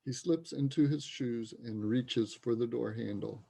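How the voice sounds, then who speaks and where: A man's voice: medium pitch, a little slow, gravelly, dark tone. A man reading scripted stage directions as the narrator of a reader's theater play.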